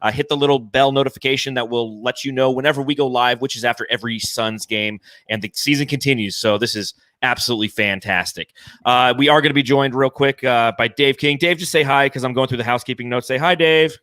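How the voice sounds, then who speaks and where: A man hosting a sports fan podcast with excited, profane banter, delivered in a boisterous, declamatory way. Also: mid-range voice, quick pace, no room sound. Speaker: podcast host.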